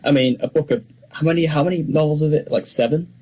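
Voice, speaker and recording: A man's voice: on the high side, fast, warm tone, a little hiss or hum. A young man co-hosting a podcast, talking casually and chattily about films with firm opinions. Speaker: podcast co-host. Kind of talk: casual film discussion.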